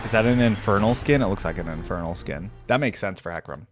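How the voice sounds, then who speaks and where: A man's voice: on the low side, fast, faint music behind. A man giving casual reaction commentary as the host of a gaming video.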